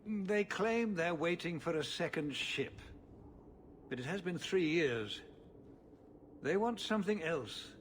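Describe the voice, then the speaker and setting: An actor playing a burdened middle-aged city ruler in a fantasy game, speaking in a measured, formal, world-weary way. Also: male voice, fairly high pitch, expressive, a little hiss or hum. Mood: wry, resigned. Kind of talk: video game cutscene acting.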